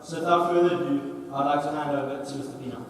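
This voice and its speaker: A man acting as master of ceremonies, reading a formal introduction of the evening's speaker in a measured way. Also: fairly high pitch, fairly even intonation, very noisy. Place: large echoey dining hall. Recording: echoey hall, speaker far from mic.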